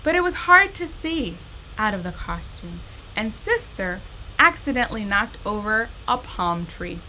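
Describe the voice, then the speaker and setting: A woman hosting a storytime, reading a children's story expressively and speaking directly to young listeners in an animated, unhurried way. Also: medium pitch, slight background noise, low-quality recording. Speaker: storytime host reading a children's picture book.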